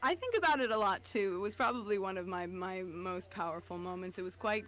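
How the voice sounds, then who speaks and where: A woman's voice: mid-range voice, brisk, fairly bright tone. A woman co-hosting a casual livestream, chatting in a joking, giggly, profane way. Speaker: stream co-host.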